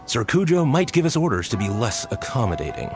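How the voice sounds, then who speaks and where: An actor playing a courteous, restrained military officer in an anime game, formal and polite with a veiled threat. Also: male voice, medium pitch, big pitch swings, fairly quick, over music, lightly acted. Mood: polite, veiled menace.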